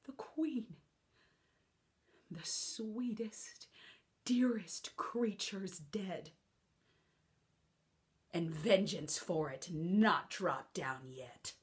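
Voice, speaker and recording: A woman playing a grieving, furious noblewoman in a classical verse monologue, declaiming with passion and dropping to hushed whispers. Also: sits high, expressive, very slow, voice pushed up, full character voice. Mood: impassioned grief.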